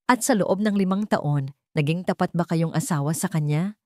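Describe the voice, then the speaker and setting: A confident defense attorney in a radio-style courtroom drama , played by a woman storyteller. The delivery is formal and deliberate, pressing each accusation relentlessly. The voice sits fairly low and sounds dry and close. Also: a little mellow, dry recording, natural voice. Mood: pointed, probing.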